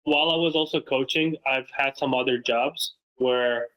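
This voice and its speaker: A man's voice: mid-range voice, steady tone, moderate pace, sharp tone, low-quality recording. Romanian-born young man, earnest and reflective. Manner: earnest, reflective, many fillers and restarts. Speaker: guest, football creator and coach. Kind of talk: casual conversational interview. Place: lives in Massachusetts.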